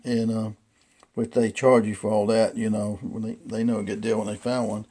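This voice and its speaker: A man casually presenting and explaining a vintage item without a script, in a halting, rambling, folksy way. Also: fairly low pitch, level tone, mellow. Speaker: vintage radio collector presenting a radio. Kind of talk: casual unscripted demonstration.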